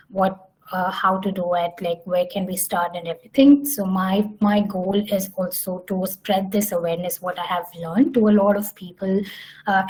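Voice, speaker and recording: A woman's voice: medium pitch, mellow, low-quality recording. Hesitant woman giving a talk. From Hyderabad, India. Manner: hesitant, many fillers and restarts. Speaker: summit speaker. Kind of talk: online conference presentation.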